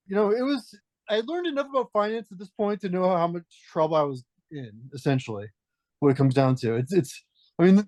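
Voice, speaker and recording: A man talks as a podcast guest, working through his story with frequent false starts and restarted phrases. His voice sits a bit high for a man. The sound is close and dry, with no room echo. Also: a little mellow. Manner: hesitant, restarts phrases often. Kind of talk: reflective conversational storytelling.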